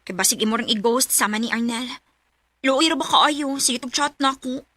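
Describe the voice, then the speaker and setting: An actress playing an excitable, pushy young matchmaking friend in a radio drama, with a nagging Cebuano-accented delivery. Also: female voice, on the high side, normal speed, no echo. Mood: scolding. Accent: Cebuano Filipino. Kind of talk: Philippine radio drama acting.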